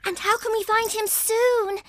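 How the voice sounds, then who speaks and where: A woman's voice: very high-pitched, steady tone, unhurried. A woman voicing a sweet, fretful, childlike girl rabbit in a preschool cartoon. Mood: wistful, worried. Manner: plaintive, childlike. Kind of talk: preschool animated show dialogue.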